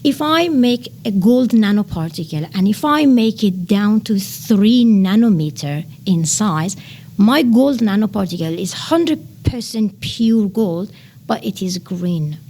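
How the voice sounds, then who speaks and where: A woman in her thirties from Iran with a Persian accent, a researcher explaining her field in an interview with frequent "uh" pauses. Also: mid-range voice, expressive, mellow. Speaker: nanotechnology researcher.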